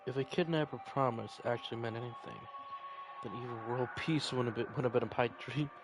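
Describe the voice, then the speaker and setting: A man reads story narration and dialogue from a game aloud. His pitch is middling, and he sometimes trips on a word and repeats it. Heavy noise runs through the amateur recording. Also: a little fast. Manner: stumbles over words and rereads them. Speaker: video maker reading a visual novel aloud.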